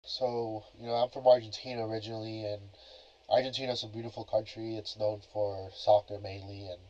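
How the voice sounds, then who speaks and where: A man's voice: lowish voice, flat delivery, fairly quick, a little mellow. A young man hosting a casual solo podcast, talking in a laid-back, rambling way full of filler phrases. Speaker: podcast host. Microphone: cheap plug-in computer microphone. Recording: dull lo-fi cheap mic.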